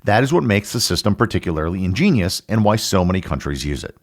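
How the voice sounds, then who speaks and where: A man hosting a podcast, reading a scripted educational narration in a measured, explanatory way with emphatic phrasing. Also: deep voice, big pitch swings. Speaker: podcast host.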